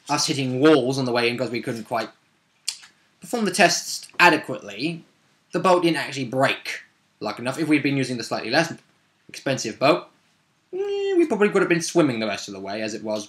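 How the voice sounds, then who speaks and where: A man's voice: mid-range voice, a bit bright. Man, casual rambling storyteller. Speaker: tabletop game player recapping a session.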